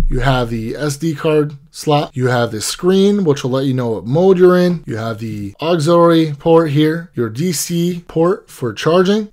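A man's voice: medium pitch, a little mellow. Man giving a matter-of-fact product walkthrough. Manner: matter-of-fact, step-by-step explaining. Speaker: product reviewer. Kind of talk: casual product review walkthrough.

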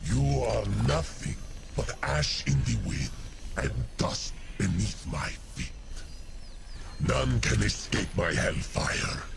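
An actor playing a menacing fire demon of metal and flame in a video game, with a gravelly growl and a grandiose, contemptuous manner. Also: male voice, on the low side, big pitch swings, takes it slowly, heavy background noise, exaggerated voice. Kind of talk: video game character voice lines.

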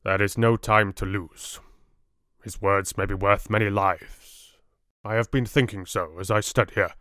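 A man voicing a stern older professor who speaks in a quiet, grave, measured way. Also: on the low side, fairly even intonation, dry recording, clean studio sound. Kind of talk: audiobook character voice.

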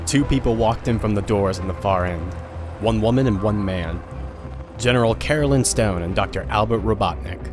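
A man narrating an audio drama in a steady, plain storybook style. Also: fairly low pitch, moderate pace. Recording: music bed underneath.

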